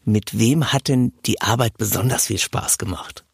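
A man's voice: lowish voice, normal speed, vocal fry, breathy edge, dry recording, clean studio sound. A man hosting a podcast in a casual, chatty and cheerful way, addressing guest and listeners. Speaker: podcast host, interviewer.

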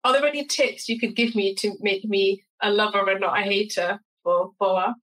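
A woman's voice: mid-range voice, steady tone, normal speed, fairly bright tone, low-quality recording. A woman hosting a food podcast, chatty and friendly, asking questions with short eager agreements. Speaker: podcast host. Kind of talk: casual interview.

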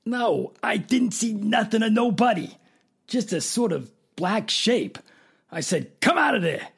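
A man playing a dazed, plainspoken tough guy in a radio drama, with a creaky, raspy voice and halting, ungrammatical speech. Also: high voice, animated, heavily acted. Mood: confused, defensive.

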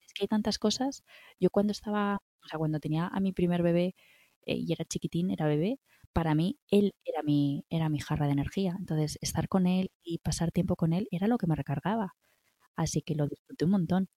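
Mellow spanish woman from spain, middle aged, chatting self-care tips, reassuring, really dry sound. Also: fairly low pitch, no room sound. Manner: reassuring, encouraging, often checking with "no?". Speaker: wellbeing guest giving advice. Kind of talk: conversational self-care advice.

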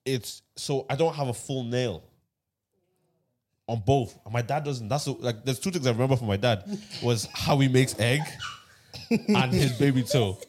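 A man's voice: medium pitch, moderate pace, a little mellow, a little hiss or hum. A man co-hosting a casual podcast, telling a self-conscious story with a lot of laughter. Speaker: podcast co-host.

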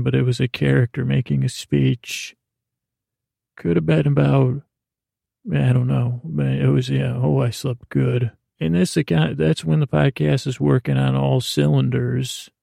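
A man hosting a bedtime podcast in a meandering monologue, halting and interrupting himself as he drifts into tangents. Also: mid-range voice, level tone, gravelly, warm tone, dry recording. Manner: rambling, halting, self-interrupting with trailing tangents. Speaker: podcast host.

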